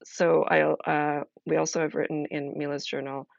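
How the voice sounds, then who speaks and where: A woman streamer chatting casually as she explains a solo role-playing game, halting and thinking aloud. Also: low-pitched, level tone, vocal fry, no room sound, muffled recording.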